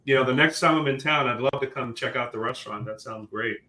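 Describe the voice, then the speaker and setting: A man's voice: medium pitch, quick pace, sharp tone. Encouraging man hosting in an echoey room. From Caribbean, born in Guyana. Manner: encouraging, short affirmations, rambling questions. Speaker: host. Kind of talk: casual interview over a live stream.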